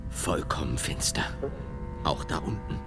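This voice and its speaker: An actor playing a solemn, inquisitive medieval theologian in a German radio drama, speaking deliberately and earnestly, at times nearly whispering. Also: male voice, rumbling low. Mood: curious, hushed. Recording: prominent music behind the voice.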